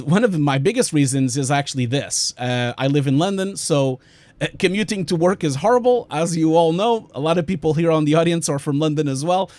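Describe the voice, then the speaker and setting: A man's voice: fairly high pitch, a little mellow, no room sound. A man in his forties from Portugal with a Portuguese accent, presenting a webinar from his home studio in a chatty, self-interrupting way with frequent fillers.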